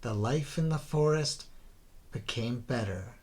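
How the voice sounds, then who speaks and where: A man narrating a rhyming children's story in a theatrical, deliberate way, drawing out the ends of phrases. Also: medium pitch, animated, a little mellow. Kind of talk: dramatic scripted children's story narration in rhyme.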